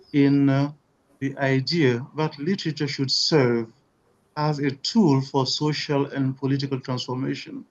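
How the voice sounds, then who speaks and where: Man lecturing over muffled call audio. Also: medium pitch. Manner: measured, with frequent "you know" and "uh". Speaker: webinar speaker. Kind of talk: academic lecture. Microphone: video call.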